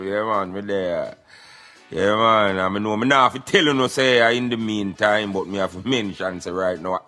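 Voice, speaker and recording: A man's voice: lowish voice, sharp tone. A man with a Jamaican accent hosting a radio show, chatting casually to listeners in patois with frequent affirming interjections. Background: music.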